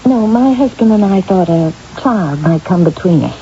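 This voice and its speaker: A muffled old radio play recording with some hiss, featuring a polite, flustered housewife. Her mellow voice moves up and down a lot. She speaks haltingly, sympathetic and accommodating. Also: fairly low pitch, lively intonation. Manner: halting, flustered, repeats words. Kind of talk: 1970s radio drama acting.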